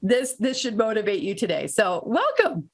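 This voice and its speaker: A woman co-hosts a podcast and introduces her guest with plenty of enthusiasm. Her voice is at a middle pitch, and she laughs often as she talks. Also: big pitch swings, moderate pace. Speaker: podcast co-host.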